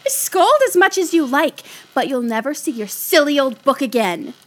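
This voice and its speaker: A woman's voice: high voice, lively intonation, normal speed. A woman acting a proud, petulant young girl, the youngest sister, in an audio play, with a whiny, dramatic and insistent delivery. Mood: defiant.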